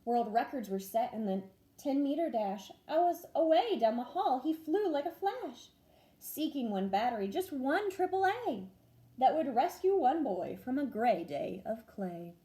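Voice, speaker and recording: A young first grade teacher reads a rhyming picture book aloud in a bright, high voice. Her delivery is sing-song and animated, rising and falling with the verses. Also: measured pace. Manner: expressive, sing-song storytelling.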